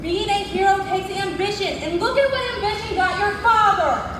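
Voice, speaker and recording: A woman's voice: very high voice, moderate pace. A woman playing an exasperated, blunt widowed mother who scolds her son in a comic stage reading. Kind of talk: comic readers theatre performance. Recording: echoey noisy hall, distant microphone.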